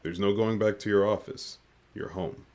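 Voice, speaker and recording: A man playing a guarded, masked mission commander in an audio drama, speaking in a formal, measured, commanding way. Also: on the low side, fairly even intonation, darkish tone, lightly acted. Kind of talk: scripted audio drama acting.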